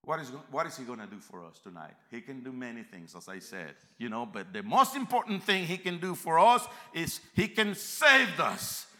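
Bright, fervent preacher. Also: male voice, medium pitch, big pitch swings, normal speed, hardly any pauses. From Central America. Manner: emphatic, swings between quiet reflection and loud fervent peaks. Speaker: visiting preacher. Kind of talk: sermon. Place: church.

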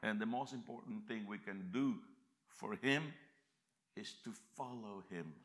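A man from America, preaching a sermon in a church, emphatic and swinging between quiet reflection and loud fervent peaks. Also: fairly low pitch, a little slow. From Central America. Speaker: visiting preacher.

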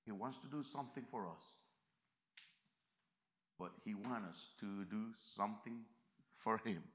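A man from America, preaching a sermon in a church, emphatic and swinging between quiet reflection and loud fervent peaks. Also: on the low side, measured pace. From Central America. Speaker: visiting preacher.

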